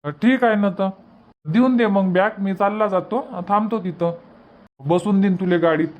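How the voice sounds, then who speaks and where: A man's voice: high voice, normal speed, lo-fi sound, lightly acted. A man voicing a practical village uncle in a cartoon family comedy, speaking rural Varhadi Marathi. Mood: hurrying, practical.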